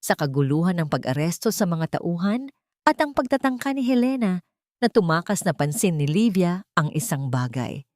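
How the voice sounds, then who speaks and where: A woman's voice: fairly low pitch, big pitch swings, moderate pace, a little mellow, no room sound, clean studio sound. A woman with a Filipino accent reads a scripted dramatic audio story as the storyteller.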